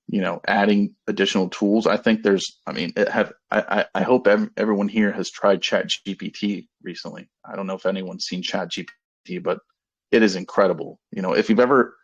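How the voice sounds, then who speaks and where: Male executive, 30s, low and gravelly, rambling and halting investor update, muffled conference-call sound. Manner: halting, rambling, repeats and rephrases himself. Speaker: company executive. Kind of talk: informal business talk to investors on a conference call. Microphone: conference call line. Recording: dull, lo-fi conference call audio.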